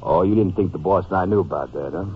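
A man's voice: deep voice, quick pace, natural voice. An actor playing a menacing, falsely casual henchman in a 1950s radio crime drama. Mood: sly, threatening. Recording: tinny, noisy old radio broadcast.

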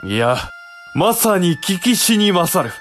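Animated, teasing young samurai brother. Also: male voice, high voice, slow pace, soft background music, heavily acted. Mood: amazed. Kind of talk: Japanese period audio drama acting.

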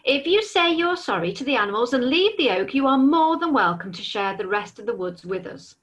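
A wise, calm female owl, as a woman reads her. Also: on the high side, brisk. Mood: firm. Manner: calm, firm.

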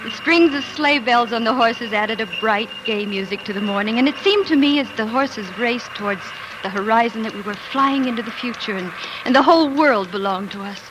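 Actress as earnest young pioneer bride, 1940s radio. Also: female voice, a little high, fairly quick, few pauses, background noise, natural voice. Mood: wistful, happy. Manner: earnest, dreamy, lyrical storytelling. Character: devoted, excitable young pioneer bride telling her story. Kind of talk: 1940s radio drama acting and narration. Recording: old 1940s radio broadcast, music underneath.

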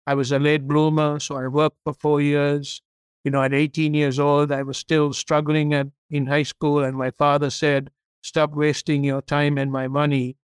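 A middle-aged man from Sri Lanka, a company CEO and podcast guest, telling his story in a steady, earnest way. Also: on the high side, normal speed, clear, resonant tone, no echo, studio quality. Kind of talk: interview storytelling.

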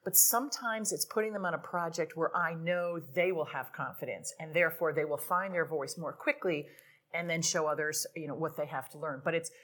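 A woman's voice: low-pitched, a little fast, fairly bright tone. Forthright woman with a strong voice. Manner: forthright, self-described strong voice. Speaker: marketing executive on a panel. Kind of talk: live podcast panel talk.

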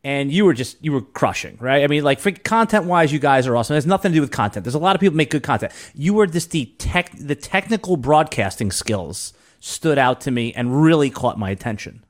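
A man's voice: fairly high pitch, animated, fairly quick, hardly any pauses. A man hosting a casual fantasy sports podcast, rambling and restarting his sentences often. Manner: rambling, with many restarts and filler words. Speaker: podcast host.

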